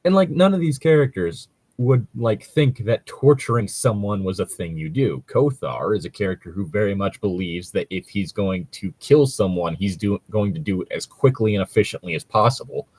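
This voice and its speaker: Low husky guy rambling about tabletop games, swears a lot, dry recording. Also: lively intonation, normal speed, few pauses, darkish tone, no echo. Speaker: co-host reading and discussing stories. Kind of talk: casual chat about tabletop role-playing.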